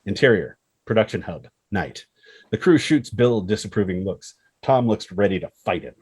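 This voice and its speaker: Husky low-voiced guy narrating a script, scene headings and action. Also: expressive, moderate pace, a little mellow. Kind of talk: dramatic screenplay narration.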